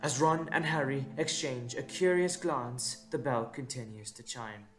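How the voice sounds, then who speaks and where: A man's voice: mid-range voice, a little slow. A man narrating a scripted audio drama in a dramatic storytelling style. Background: instrumental music.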